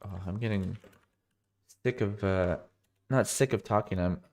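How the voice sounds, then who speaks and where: Man, a bit low-pitched, tired with a cold, live coding walkthrough, thinks aloud and corrects himself. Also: measured pace, a little mellow. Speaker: streamer.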